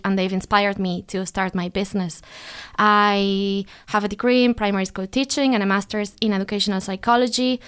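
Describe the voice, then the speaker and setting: A woman's voice: mid-range voice, fairly bright tone, clean tone. Spanish-born woman hosting a podcast. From Spain. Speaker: podcast host and business owner. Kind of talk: casual podcast chat.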